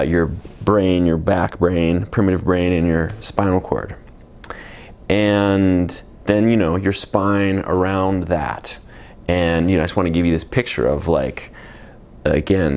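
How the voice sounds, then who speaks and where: A man in his forties with a very deep voice talks unscripted about spiritual growth. He meanders and restarts his thoughts, filling gaps with ums and "you know". He sounds like a healer explaining his work. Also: a little mellow. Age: 40s. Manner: reflective and meandering, with many fillers and restarts. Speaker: energy healer. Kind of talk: unscripted spiritual teaching monologue.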